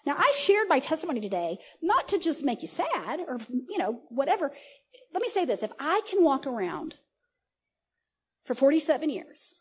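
A woman in her forties giving a casual, conversational sermon at a church service, animated, often laughing and restarting her sentences. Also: high-pitched, normal speed. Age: 40s. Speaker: preacher.

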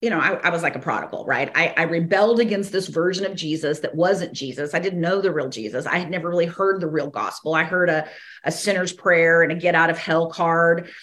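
Slightly hoarse woman, rambling podcast teaching. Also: fairly low pitch, fairly quick, fairly bright tone. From American South. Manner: rambling, frequent fillers and restarts, laughs between thoughts. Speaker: podcast host and ministry teacher. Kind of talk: casual spoken teaching podcast.

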